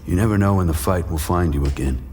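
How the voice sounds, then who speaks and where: Man playing a deep-voiced, world-weary washed-up boxer. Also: fast, a little mellow, slight background noise, lightly acted. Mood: weary, reflective. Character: weary washed-up male boxer, gruff, haunted by past. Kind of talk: brooding first-person noir narration in audio drama. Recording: music bed under the voice.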